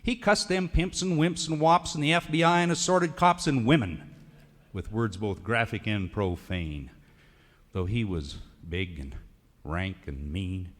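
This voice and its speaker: A man with an American West accent recites comic narrative cowboy poetry in a folksy, theatrical drawl with drawn-out emphasis. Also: fairly low pitch. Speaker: cowboy poet. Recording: reverberant hall recording of a radio show.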